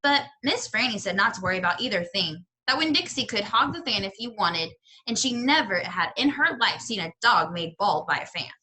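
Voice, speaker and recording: A woman reading a children's novel aloud like a teacher, with animated, expressive storytelling. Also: medium pitch, a little hiss or hum, low-quality recording. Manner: animated storytelling with pauses to question listeners.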